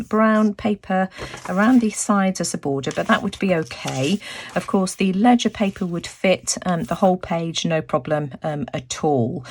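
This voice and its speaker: A woman with a croaky voice, narrating a casual craft tutorial as host, chatting and thinking aloud. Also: medium pitch, a little hiss or hum.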